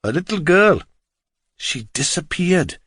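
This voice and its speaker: A man's voice: on the high side, big pitch swings, slow pace, a bit bright, breathy edge, dry recording, clean studio sound, voice pushed up, exaggerated voice. A man voicing a chatty, curious middle-aged taxi driver with a Welsh accent in an audiobook. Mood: grave, gossipy. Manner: chatty, lilting, inquisitive.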